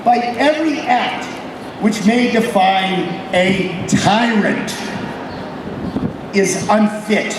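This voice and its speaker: A man delivers a solemn ceremonial proclamation in a very high, raised voice. He moves slowly, phrase by phrase, like an officer addressing a public gathering. The sound is heavily echoing and distant, with clear background noise. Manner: loud, solemn, oratorical, with deliberate pauses between phrases. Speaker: military company captain giving a ceremonial reading. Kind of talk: formal public declamation of a historical proclamation. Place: public ceremony at an old statehouse.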